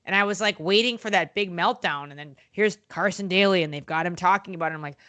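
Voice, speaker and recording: A woman guest on a podcast, telling stories about her wrestling days in an animated, outspoken way, full of fillers and acted-out quotes. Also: lowish voice, fast talker. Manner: animated storytelling, acts out quotes in other voices; frequent fillers and restarts, blunt and outspoken. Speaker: podcast guest, former wrestling interviewer and manager. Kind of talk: casual podcast interview.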